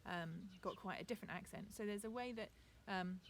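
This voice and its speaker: A woman teacher with a received pronunciation accent gives a chatty, relaxed video lesson outdoors in her garden, with frequent ums. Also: mid-range voice, brisk, slight background noise.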